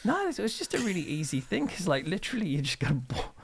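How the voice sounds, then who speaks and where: A middle-aged British man chatting casually as an interview guest, telling anecdotes in an informal, animated way. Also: sits high, quick pace, vocal fry, a loud crowd. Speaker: interview guest, author and reverend.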